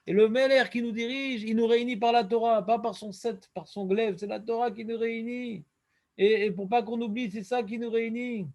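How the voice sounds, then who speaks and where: High-voiced rabbi teaching. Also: male voice, fairly quick. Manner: lively, questioning, quotes Hebrew verses in a chant-like cadence. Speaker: rabbi teaching a Talmud class. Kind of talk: Talmud lesson.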